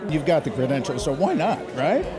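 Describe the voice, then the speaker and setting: Gushing higher-voiced male interviewer. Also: very animated, fairly quick, crowd noise. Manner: gushing, complimentary. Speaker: red carpet interviewer. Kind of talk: event interview chat. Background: music.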